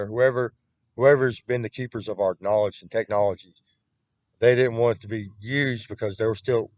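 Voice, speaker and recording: A man's voice: medium pitch, a little mellow, dry recording. A man talking in a rambling, repetitive solo monologue, with frequent restarts, recorded on a computer webcam.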